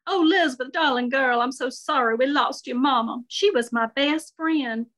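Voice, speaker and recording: A woman voicing a warm, nosy seventy-seven-year-old Southern lady with a Southern accent, talking with no filter. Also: moderate pace. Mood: gushing sympathy. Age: 70s. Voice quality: voice jumps high when excited. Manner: gushing, outspoken, no filter.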